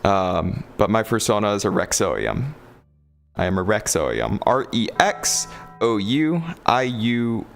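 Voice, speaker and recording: Deep gravelly-voiced man streaming. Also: big pitch swings, slow, faint music behind. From Georgia, USA. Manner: laughs often, rambling with fillers. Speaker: VRChat streamer. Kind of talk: casual livestream chat reading comments.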